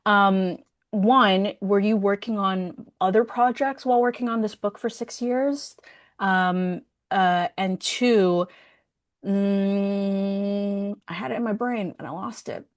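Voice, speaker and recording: A woman with a mid-range voice moderates a workshop discussion. She speaks casually and haltingly, thinking aloud as she relays questions and adds her own thoughts. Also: medium pitch. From Somali community.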